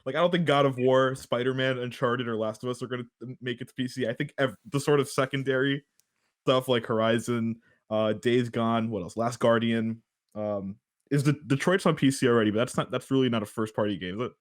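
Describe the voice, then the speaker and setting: A man's voice: medium pitch, steady tone, a little fast. A man on a gaming talk show panel, thinking aloud in casual discussion.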